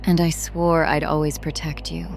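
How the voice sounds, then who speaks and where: A woman playing a haunted, protective former street criminal in a drama, speaking in an earnest, halting, confessional way. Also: deep voice, little pitch movement, vocal fry, slight background noise. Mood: tender, resolute. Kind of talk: dramatic audio drama acting.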